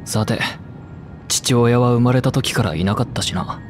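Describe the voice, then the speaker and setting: An actor voicing a calm, laconic male adventurer who narrates past events in a matter-of-fact way. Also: mid-range voice, moderate pace, echoey room, in a large hall, music in the background, close to an everyday voice. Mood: reflective. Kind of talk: reflective game narration.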